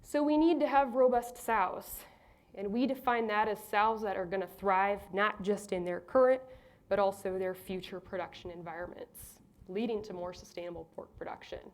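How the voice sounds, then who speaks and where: A woman with a naturally loud voice presents at a conference. She speaks with energy and emphasis, often checking in with the room with a quick "right?". Her pitch sits in an ordinary middle range. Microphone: clip-on mic at a lectern.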